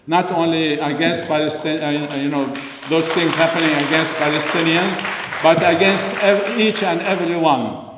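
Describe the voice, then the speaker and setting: An older man from Lebanon giving an earnest, unscripted speech at a community dinner, pausing often with fillers. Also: on the high side, fairly even intonation, a loud crowd, heavy background noise. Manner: earnest, frequent pauses and fillers. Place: large hall. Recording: echoey hall, voice from far off.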